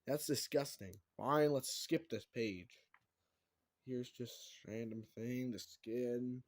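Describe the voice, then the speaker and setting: A man's voice: medium pitch, steady tone, takes it slowly, a little mellow, no room sound, clean studio sound. A man reading a book aloud and dropping in casual remarks as he goes. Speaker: reader of a book read aloud.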